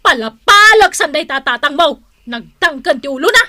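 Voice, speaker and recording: A cranky Ilocano mother from a radio comedy, scolding and complaining in a very high, bright voice. She nags her grown children in a northern Philippine accent. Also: animated, moderate pace, no echo, clean studio sound. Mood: nagging, exasperated. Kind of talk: radio comedy drama acting.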